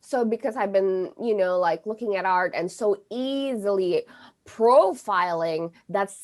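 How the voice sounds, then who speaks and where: A middle-aged woman whose first language is Indonesian, speaking in an unhurried, measured way with a mid-range voice. She talks as a guest in a conversational interview, pausing and restarting often and filling gaps with "you know" and "like.". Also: medium pitch. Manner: halting, many fillers and restarts. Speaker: astrologer guest.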